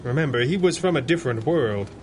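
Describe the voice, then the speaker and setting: A man's voice: medium pitch, expressive, fast, darkish tone, natural voice. A man plays a polished playboy crimefighter in a 1930s-style radio adventure. His voice sits in a middle register, dry and understated, with slight background noise. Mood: dry, composed. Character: suave billionaire playboy masked superhero. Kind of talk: 1930s pulp radio acting.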